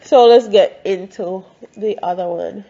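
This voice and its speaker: A woman's voice: medium pitch, measured pace, dark tone, lo-fi sound. A Jamaican woman, congested from a cold, reads news stories aloud and breaks in with blunt, opinionated comments of her own. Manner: blunt, opinionated asides between read-out reports.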